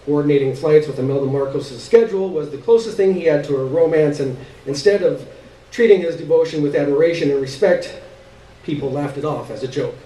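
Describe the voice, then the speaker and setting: A man with a slightly higher-pitched voice reads a first-person short story aloud as a live performance. He is recorded a little distant in an echoey space. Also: brisk, mellow. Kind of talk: live dramatic reading of first-person literary fiction. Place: live stage reading in a roomy space. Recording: echoey room, distant mic, background noise.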